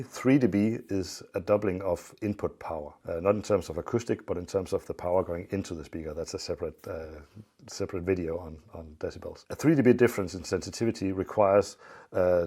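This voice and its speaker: Low, dark-voiced man explaining technical matters. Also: husky. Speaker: loudspeaker company expert.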